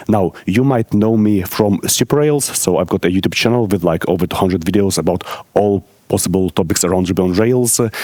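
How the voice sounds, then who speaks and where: Very low-voiced man giving a conference talk. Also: a little mellow, dry recording. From Ukraine. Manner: halting, many filler pauses, friendly asides to audience. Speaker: conference speaker. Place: conference hall stage.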